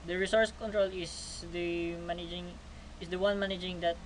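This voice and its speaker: A man's voice: sits high, level tone, moderate pace, low-quality recording. A twenty-two-year-old man from the Philippines, a student presenting a class project, reading stiffly and repeating phrases. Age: 20s. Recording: noticeable background noise.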